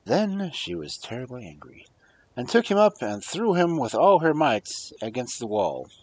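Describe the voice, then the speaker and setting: A man reading fairy tales aloud as a storyteller, with a husky voice and a dramatic delivery that moves between hushed and emphatic. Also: mid-range voice, big pitch swings, normal speed. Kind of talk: expressive fairy-tale narration, read aloud.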